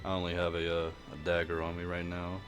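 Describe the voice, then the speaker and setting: Deep-voiced man casually narrating gameplay. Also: completely flat delivery, fairly quick, loud music behind the voice. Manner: laid-back, thinking aloud. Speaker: gaming video host. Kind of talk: casual game playthrough commentary.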